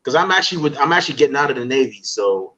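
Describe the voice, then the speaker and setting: A man's voice: mid-range voice, very fast. Man, young host from Guyana, playful slangy chatter, dull lo-fi sound. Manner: animated, playful, full of slang. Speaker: podcast host. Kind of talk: casual hype interview.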